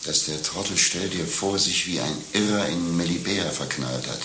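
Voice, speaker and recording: A man's voice: low-pitched, normal speed, bright, forceful tone. A male actor playing a coarse, sardonic rogue in a satirical radio play, talking in a blunt, mocking, colloquial way. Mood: scornful. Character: coarse, sardonic male rogue, grumbling about his lovesick friend. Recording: roomy radio play sound, faint hiss.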